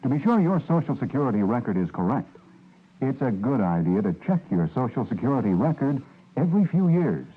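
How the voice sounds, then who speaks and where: A man reading a public service announcement in a steady, informative manner. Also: mid-range voice, fairly quick, slight background noise. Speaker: advertisement announcer. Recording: tinny old radio broadcast.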